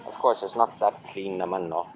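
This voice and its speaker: A man's voice: sits low, a little fast, over music, poor audio. A man vlogging outdoors with a handheld camera, chatting casually as he walks a city beach, mixing English with a few Tagalog phrases. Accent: non-native Tagalog accent. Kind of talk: casual walking vlog commentary. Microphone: handheld camera, filming while walking.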